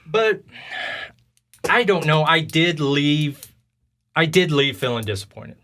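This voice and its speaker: Higher-voiced man chatting about games. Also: lively intonation, slow pace, pushed and bright, slight background noise. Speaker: podcast host. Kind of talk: casual video game discussion.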